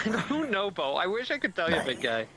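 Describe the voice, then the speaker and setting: A man's voice: high-pitched, huge pitch swings, fairly quick, creaky, a loud crowd, low-quality recording. A man in casual improvised roleplay chat who repeats words and swears offhand.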